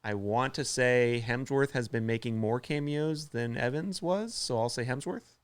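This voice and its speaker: A man in casual conversation as a podcast co-host, excitable and given to thinking out loud. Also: medium pitch, moderate pace, clean tone. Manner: excitable, exclamatory, thinks aloud. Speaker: podcast co-host playing a quiz. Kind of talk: casual chat.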